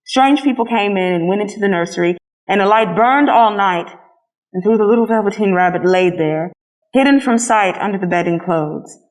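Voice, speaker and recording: A woman reading a children's story aloud as a scripted narration, in an expressive storytelling manner. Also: mid-range voice, normal speed, low-quality recording. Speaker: storybook reader.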